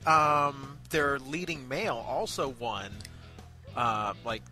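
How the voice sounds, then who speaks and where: Chatty review host, very bright forward voice, casual banter with mock-formal touches, faint music behind. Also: mid-range voice, lively intonation, slow pace. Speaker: amateur web show review host. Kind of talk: casual banter with playful announcements.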